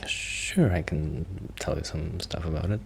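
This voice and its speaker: A shy young musician friend, played by a man, speaks softly in a deep, creaky voice that often drops to a whisper. He rambles slowly and hesitantly, in a casual, friendly ASMR roleplay manner. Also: slight background noise, full character voice. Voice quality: breathy soft voice, often whispering.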